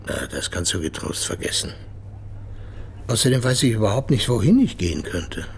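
A man's voice: on the low side, very animated, moderate pace, gravelly, slight background noise. An actor playing a brooding, resigned middle-aged man haunted by betrayal, subdued, in a German radio drama.

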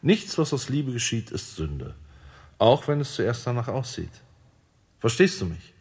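Casting: a patient heavenly lord, husky and gentle, radio play. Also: male voice, medium pitch, big pitch swings, a little slow, full character voice. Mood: reassuring. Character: calm fatherly male heavenly lord. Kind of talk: radio drama acting.

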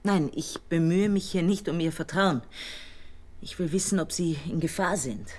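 A woman playing a calm intelligence colonel. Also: fairly low pitch, normal speed, natural voice. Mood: controlled. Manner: calm, controlled interrogator. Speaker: intelligence officer.

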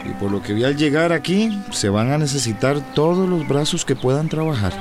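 A man's voice: fairly high pitch, expressive, normal speed, natural voice. An actor playing a humble, grateful male survivor of a shipwreck, earnestly telling his story in a radio soap opera. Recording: radio drama with music underneath.

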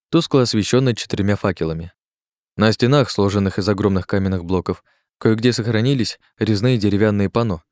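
A man narrates an audiobook in a steady, descriptive way. His voice is low, and the recording is dry and close, with no room sound. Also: no echo. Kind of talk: scripted narration.

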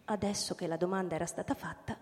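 A woman narrator reading a literary story in measured, storytelling phrases for a radio drama. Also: on the low side, fairly even intonation. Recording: reverberant hall, loud music behind. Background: loud music.

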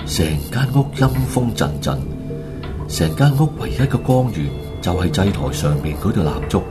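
A man tells a horror radio drama as narrator. His low voice moves with lively swings, colloquial and dramatic, while loud music plays underneath. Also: normal speed. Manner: animated, colloquial.

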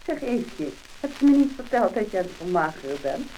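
An actress playing a chiding schoolteacher with a Netherlands Dutch accent in a 1950s scripted drama. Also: female voice, medium pitch, sing-song, normal speed, dark tone, close to an everyday voice. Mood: mild reproach. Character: chiding female domestic-science schoolteacher. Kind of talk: 1950s scripted radio-style acting. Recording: crackly, hissing mid-century disc recording.

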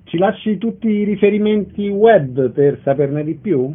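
Higher-voiced male radio host, narrow sound. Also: expressive, unhurried. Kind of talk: radio interviewing and presenting.

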